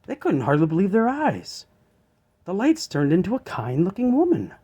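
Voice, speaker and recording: A man reading a children's story aloud as an expressive narrator. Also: sits high, moderate pace, slightly rough.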